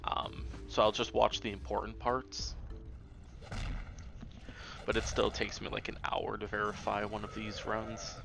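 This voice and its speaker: A man's voice: lowish voice, completely flat delivery, a little slow, creaky, long pauses. A man giving casual, offhand live commentary as he plays a game, often muttering to himself. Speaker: speedrunner streamer. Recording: game music behind the voice. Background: video game music.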